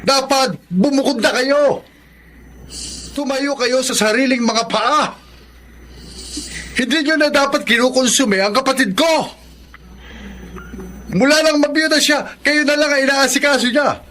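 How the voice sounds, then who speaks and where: A man's voice: very high voice, a little slow, pauses often, sharp tone, slight background noise, heavily acted. A man playing an elderly, stern and protective uncle in a Tagalog radio drama, speaking in an admonishing, preachy way. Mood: stern scolding.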